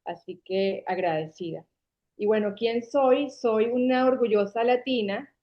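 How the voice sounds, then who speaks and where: A woman's voice: fairly high pitch, unhurried. A woman from Caracas with a Venezuelan accent, in her forties, telling her story at length as an interview guest. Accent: Venezuelan Spanish from Caracas. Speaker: guest, marketing agency founder. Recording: dull lo-fi sound.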